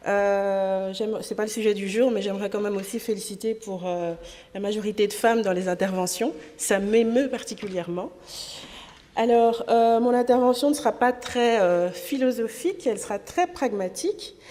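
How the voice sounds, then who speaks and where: A woman's voice: medium pitch, normal speed, mellow. A woman originally from Congo, an association president and trainer, giving a pragmatic conference talk with frequent hesitations. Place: parliament conference hall. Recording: long hall reverb.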